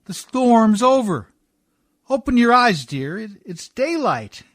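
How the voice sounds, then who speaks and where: An actor playing a rough, warm-hearted, weary riverboat skipper with folksy, ungrammatical speech in a radio play. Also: male voice, very high voice, very animated, slow pace, dry recording, clean studio sound, exaggerated voice. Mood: gentle.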